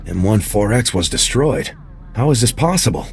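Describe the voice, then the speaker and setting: An actor playing a dutiful, restrained military lieutenant in a video game, terse and earnest. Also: male voice, on the low side, sing-song, moderate pace. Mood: serious. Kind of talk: video game character acting.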